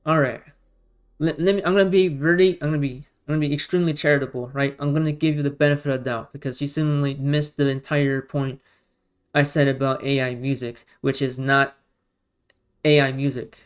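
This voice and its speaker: A man's voice: medium pitch, fairly even intonation, fairly quick, clear, resonant tone. A man, a non-native speaker whose first language is Romanian or Russian, delivering argumentative response commentary in an exasperated, combative way, leaning on emphatic rhetorical questions. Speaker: online video commentator.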